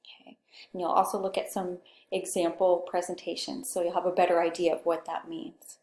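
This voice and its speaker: A soft-spoken woman with a Pacific Northwest accent giving a conversational recorded course lecture, often checking in with "okay". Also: lowish voice, flat delivery, brisk, echoey room. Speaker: instructor.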